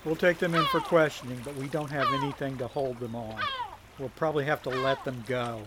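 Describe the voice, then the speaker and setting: A man acts out a gruff agent bossing his men in a period audio drama. His voice is mid-pitched and easily incredulous. Loud ambient noise surrounds him. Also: fairly even intonation, brisk, natural voice. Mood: businesslike.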